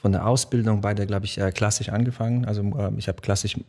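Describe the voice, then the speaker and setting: Low-voiced, gravelly male guest, born in Poland, halting and full of fillers. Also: fairly even intonation, darkish tone. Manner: hesitant, many fillers. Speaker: guest musician. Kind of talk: casual interview talk. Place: podcast studio.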